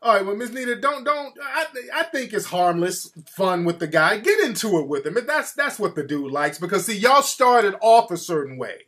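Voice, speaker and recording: Male host, high voice, crude humor, quick follow-ups. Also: very animated, fairly bright tone. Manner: blunt and joking, laughs often, quick follow-up questions. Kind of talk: casual chat.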